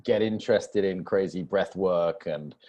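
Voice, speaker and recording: A man hosts a podcast interview in a low, husky voice. His delivery is conversational and informal, often restating his questions and points as he goes. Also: measured pace, mellow. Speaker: podcast host interviewing a guest.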